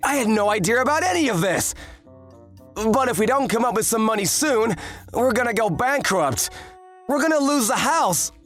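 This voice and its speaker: A man's voice: extremely high pitch, lively intonation, normal speed, a bit bright, faint music behind. A man voicing a hapless, confused middle-aged brother-in-law in a manga dub, earnest and flustered. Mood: worried.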